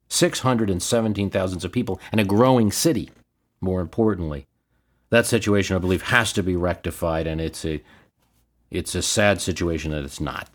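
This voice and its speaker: American man, deep-pitched solo podcast host, explanatory commentary with measured, opinionated delivery. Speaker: history and politics podcast host.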